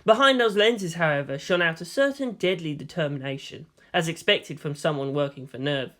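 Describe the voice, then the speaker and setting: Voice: mid-range voice, normal speed, bright, forceful tone. A narrator giving a scripted audiobook reading of a fan fiction story.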